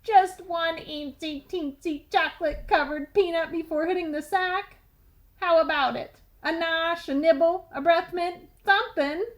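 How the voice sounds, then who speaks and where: A woman's voice: very high voice, a little slow, pitched above the performer's own voice. A woman voicing a whiny, bossy, melodramatic talking tooth that craves sugar, in a storybook reading. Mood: wheedling. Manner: pleading, melodramatic, mouthy.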